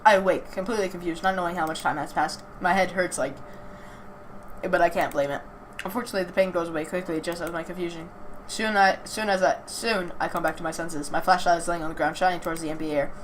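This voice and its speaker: Woman reading game text, slightly low voice, noisy recording. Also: steady tone, quick pace. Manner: stumbles over words while reading, repeats phrases. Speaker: video host playing a visual novel. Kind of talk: let's play, reading game text aloud with casual asides.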